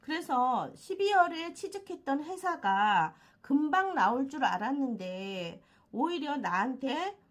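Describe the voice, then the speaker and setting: A woman with a slightly hoarse throat from a cold explains a monthly fortune reading as a host, haltingly, with frequent filled pauses. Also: high-pitched, very animated. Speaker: fortune-telling channel host. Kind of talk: explanatory monthly horoscope talk.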